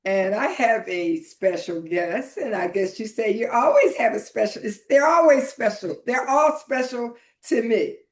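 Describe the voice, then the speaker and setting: A middle-aged or older woman hosting a podcast, talking informally and haltingly as she introduces and interviews a guest. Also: a little high, animated. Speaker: podcast host.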